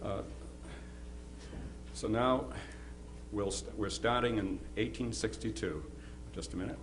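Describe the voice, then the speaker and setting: A man giving an informal historical introduction as presenter, halting and often repeating words as he goes. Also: fairly low pitch, noisy background. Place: live presentation before an audience.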